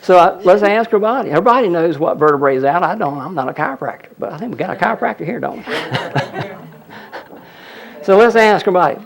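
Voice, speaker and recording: A man's voice: high voice, animated, a little fast, vocal fry. A man presenting a healing seminar, explaining a live demonstration to an audience and repeatedly pointing things out. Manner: explanatory, audience-addressing, frequent "look at that".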